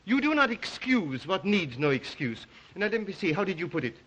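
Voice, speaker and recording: Theatrical Russian count, high and bright. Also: male voice, big pitch swings, slight background noise. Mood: suave, eager. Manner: theatrical, deliberate, brooding, courtly. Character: aristocratic Russian count, suave, obsessive big game hunter. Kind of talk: 1930s film-era melodramatic acting.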